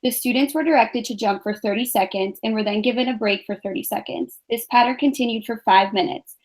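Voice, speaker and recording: Woman in her twenties, a touch high-pitched, calm measured student presenter. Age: 20s. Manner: even, measured scripted reading. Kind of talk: reading a class presentation.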